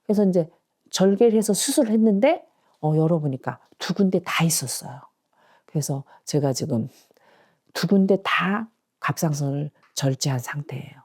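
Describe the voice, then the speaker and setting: A woman in her sixties speaks as a patient, slightly low in pitch with a mellow, soft tone. She talks earnestly, pausing and restarting as she hunts for the right words to describe her symptoms. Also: expressive. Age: 60s. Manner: earnest, halting, searching for words. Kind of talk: casual interview.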